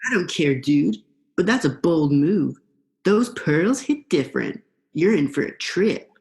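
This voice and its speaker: A woman's voice: deep voice, big pitch swings, measured pace, darkish tone, full character voice. A young woman in a student radio play, playing a laid-back, vengeful male eel with a casual, slangy drawl. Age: young adult.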